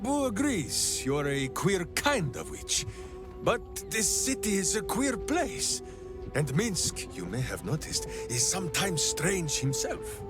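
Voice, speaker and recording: A man's voice: high-pitched, wildly swooping pitch, slow pace, full character voice. A man playing a big-hearted, simple warrior ranger in a video game, bombastic and oddly formal. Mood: boisterous. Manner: bombastic, refers to himself in third person, oddly formal phrasing. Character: big-hearted, simple heroic ranger warrior who talks through his hamster. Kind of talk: theatrical video game character acting. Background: background music.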